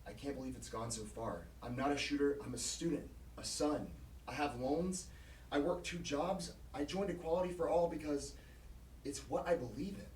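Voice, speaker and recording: A man's voice: a little high, moderate pace, darkish tone, lightly acted. A man playing an earnest, proud young student activist in an audio drama. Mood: impassioned, defiant. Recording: echoey, distant, faint background noise.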